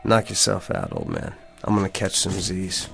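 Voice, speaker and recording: A man's voice: low-pitched, wildly swooping pitch, normal speed, vocal fry, heavily acted. An actor playing a cocky, sarcastic younger wise guy who talks in wisecracking street slang in a screen drama. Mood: smug.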